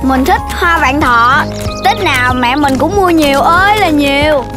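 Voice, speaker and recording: A woman's voice: high-pitched, normal speed, over loud music, lightly acted. A woman with a southern Vietnamese accent voicing a straightforward, childlike animal friend in a children's cartoon. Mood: chatty.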